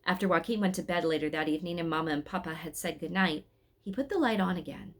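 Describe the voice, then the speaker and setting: A woman's voice: fairly low pitch, fast talker. A woman reading a story aloud in a scripted narration style.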